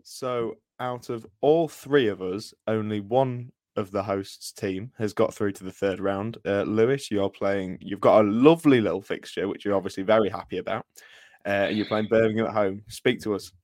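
Low-voiced man hosting a football podcast. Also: moderate pace, a little mellow, no room sound, clean studio sound. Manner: rambling, many restarts and fillers. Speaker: podcast host. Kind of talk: casual football podcast chat.

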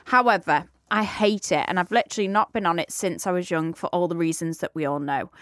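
A woman's voice: fairly low pitch, a little fast, fairly bright tone, dry recording, studio quality. A British woman in her thirties, co-hosting a podcast and reading out listener messages in a blunt, teasing way. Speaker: podcast co-host.

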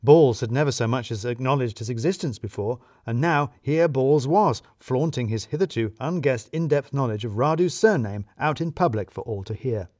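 A man's voice: medium pitch, moderate pace, hardly any pauses, darkish tone, dry recording, studio quality. A man narrating a scripted first-person comic story in a wry, self-deprecating manner.

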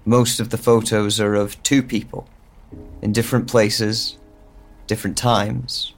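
A man acts as a lonely, bewildered man narrating his own confusion in an audio drama. His voice is low and gravelly, with long, hesitant pacing. He sounds introspective and unsure of what he remembers. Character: bewildered lonely man with lost memory exploring his home. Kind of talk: slow first-person audio drama monologue.

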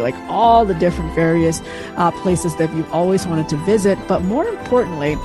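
Enthusiastic podcast host over music. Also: medium pitch. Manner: enthusiastic, hypes guests with frequent fillers. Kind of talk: upbeat podcast intro. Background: background music.

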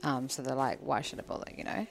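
Blunt young woman with a very low voice. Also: fairly even intonation, quick pace. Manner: blunt, opinionated, swearing. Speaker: podcast guest. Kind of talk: casual chat.

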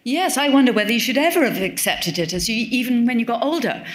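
A woman's voice: on the high side, animated, fast talker. Thoughtful woman answering audience questions. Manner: thoughtful, self-correcting, wry. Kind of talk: live Q&A talk. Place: event hall with audience.

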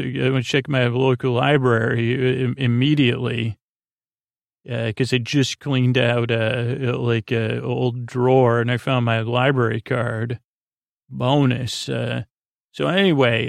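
A man hosting a sleep podcast in a gravelly voice, rambling through a halting, meandering bedtime monologue full of tangents and broken-off sentences. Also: medium pitch, no room sound, studio quality. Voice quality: gravelly, creaky voice. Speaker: podcast host.

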